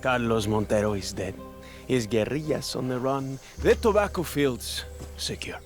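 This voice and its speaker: An actor playing a dictator's boastful, eager-to-please soldier nephew with a Latin American Spanish accent in a game cutscene. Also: male voice, medium pitch, moderate pace, faint music behind, lightly acted. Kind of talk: dramatic game cutscene acting.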